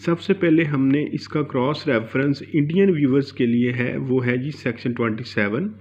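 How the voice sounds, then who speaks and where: A man's voice: mid-range voice, moderate pace, a little mellow. A man teaching law informally, point by point, mixing in English terms and often adding little check-in tags. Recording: dull lo-fi sound.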